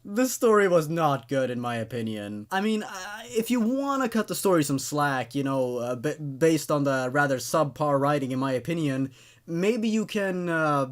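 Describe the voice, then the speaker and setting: A man hosting a horror story show, giving expressive, opinionated but apologetic commentary and often stammering and repeating words. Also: on the high side. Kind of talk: reading and reviewing amateur horror stories.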